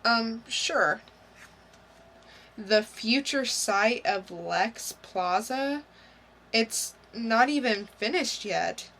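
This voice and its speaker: A woman's voice: on the high side, animated, drawn-out words, fairly bright tone, slight background noise, full character voice. A woman playing an awkward, nervous young man in an amateur comic book reading, sounding hesitant and unsure. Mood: hesitant, surprised.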